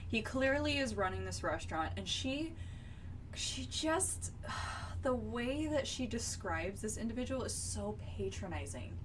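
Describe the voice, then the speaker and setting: A woman's voice: a little high, expressive, a little slow. A woman co-hosting a book podcast, talking casually in a critical, emphatic, exasperated way and sometimes reading passages aloud. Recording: distant mic, faint background noise.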